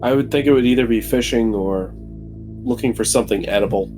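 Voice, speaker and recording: A man's voice: mid-range voice, fairly quick, warm tone. A man playing a tabletop game, chatting casually in an irreverent, sweary way. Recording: clear music behind the voice.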